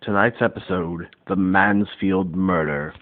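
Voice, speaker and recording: A man's voice: low voice, steady tone, a little slow, phone-quality sound, heavy background noise. A man announcing an old-time radio mystery show in a scripted style.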